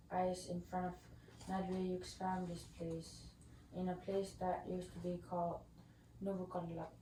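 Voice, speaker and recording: A woman reads a life story in a slightly low, soft-edged voice. Her words echo in the room over faint noise. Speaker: reader at a school story sharing. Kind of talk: reading an elder's life story aloud.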